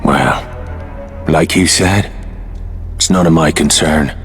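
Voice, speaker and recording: An actor playing a cocky, hard-bitten Irish saboteur hero in a video game cutscene, wry and flippant. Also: male voice, rumbling low, takes it slowly. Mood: determined. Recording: music behind the dialogue.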